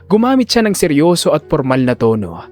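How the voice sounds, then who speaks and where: A man's voice: on the high side, very animated, a little fast, darkish tone, soft background music. A man giving dramatic scripted narration for an audio story.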